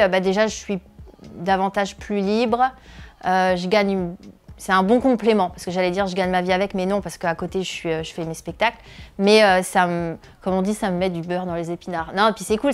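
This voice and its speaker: A woman's voice: medium pitch, steady tone, a little fast, clear, resonant tone. A woman in her thirties from northeastern France, a comedian answering interview questions, hesitant with many filler sounds. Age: 30s. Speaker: comedian and video creator.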